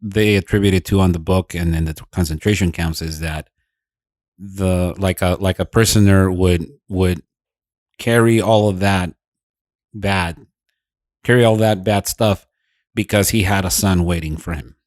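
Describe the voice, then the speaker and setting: A man's voice: low-pitched, steady tone, a little slow, darkish tone, dry recording, studio quality. A man co-hosting a podcast, explaining ideas in casual chat and repeating words as he thinks. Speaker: podcast co-host.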